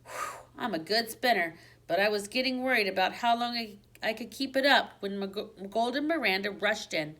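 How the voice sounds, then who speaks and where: A woman reading a children's book aloud like a teacher, with expressive storybook narration and dramatic emphasis. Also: medium pitch, moderate pace. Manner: dramatic emphasis on exciting moments.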